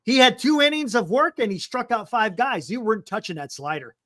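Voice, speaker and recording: A man's voice: high-pitched, animated, a little fast, a bit bright. A man in his fifties hosting a casual sports talk stream, speaking emphatically and repeating his points. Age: 50s. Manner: emphatic, repeats himself, calls people "man". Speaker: sports talk show host.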